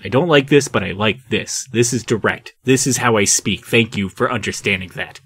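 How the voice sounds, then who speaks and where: A man playing a gruff, belligerent fighter in a tabletop game who talks bluntly and swears. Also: on the low side, moderate pace, very noisy, close to an everyday voice. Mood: grudging.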